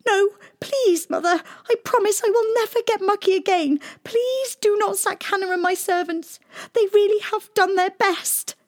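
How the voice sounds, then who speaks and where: A woman's voice: wildly swooping pitch, moderate pace, few pauses. A woman voicing a spoiled, bossy young princess girl in a storybook. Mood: pleading. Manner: high, imperious, pleading when upset.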